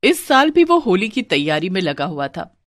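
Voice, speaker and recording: A woman's voice: mid-range voice, very animated, normal speed, a bit bright, no echo, clean studio sound. A woman narrating a scripted moral story.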